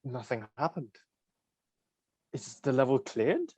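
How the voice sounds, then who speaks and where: A man playing a distraught, protective young male gamer in staged reader's theatre, emotional and halting. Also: mid-range voice, big pitch swings, darkish tone, husky, dry recording, heavily acted. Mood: bewildered.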